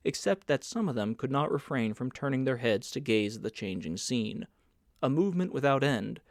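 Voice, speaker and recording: Guy reading a classic novel out loud, measured, middle pitch, really dry recording. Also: no echo. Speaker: audiobook reader. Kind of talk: scripted literary narration.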